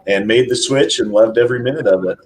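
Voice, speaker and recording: A man's voice: medium pitch, fast talker, a little hiss or hum, poor audio. A man from Idaho, an admissions counselor, telling his story in an easygoing, plainspoken way from his office over a video call. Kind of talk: interview answers.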